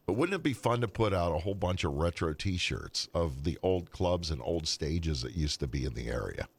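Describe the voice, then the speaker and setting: A low-pitched man with a lively, bouncing delivery co-hosts a casual podcast. He jokes around and tosses out ideas. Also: moderate pace, creaky, breathy edge. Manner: joking, idea-tossing banter. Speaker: podcast co-host. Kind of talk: casual chat.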